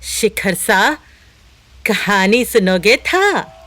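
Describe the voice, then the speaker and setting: A woman's voice: medium pitch, big pitch swings, very slow, fairly bright tone, exaggerated voice. A woman playing a sadistic, theatrical village storyteller with a Rajasthani accent in an audio horror drama. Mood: menacing, theatrical.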